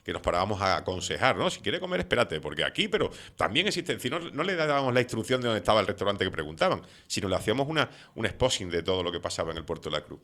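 A man's voice: on the low side, sing-song, rapid-fire, few pauses, fairly bright tone. A middle-aged man who is not from the islands, hosting an interview in a talkative, opinionated way with many fillers. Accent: non-Canarian Spanish. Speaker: radio show host. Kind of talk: conversational interview.

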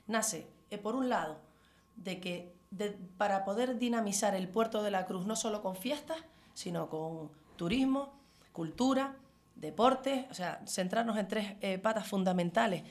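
A woman's voice: medium pitch, unhurried, a little mellow. A woman answering in an interview as a councillor, emphatically listing her points phrase by phrase. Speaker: town councillor.

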